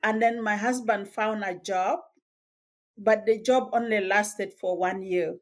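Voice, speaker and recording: A woman from Sudan, telling her life story as a guest in a podcast interview, measured and reflective with small hesitations. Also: medium pitch, fairly even intonation. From South Sudan. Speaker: guest author.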